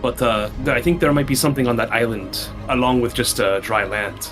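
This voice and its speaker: A man playing a tabletop game, chatting informally and thinking out loud, with a sweary streak. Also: medium pitch, brisk. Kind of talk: casual game chat. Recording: music playing behind the voice. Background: music.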